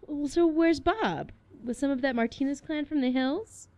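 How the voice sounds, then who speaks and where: An actress playing a bright, inquisitive junior assistant in a small-town radio play. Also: female voice, sits high, moderate pace, no echo, natural voice. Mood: bright curiosity. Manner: perky, inquisitive.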